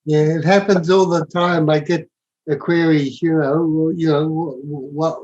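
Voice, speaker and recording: A man talking as a podcast guest in a reflective, philosophical way, halting and often repeating his words. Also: fairly high pitch, level tone, darkish tone, dull audio. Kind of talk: reflective, spiritual casual talk.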